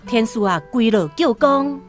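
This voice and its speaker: A Taiwanese woman narrating a literary radio drama in a storytelling style, over soft music. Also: on the high side, normal speed.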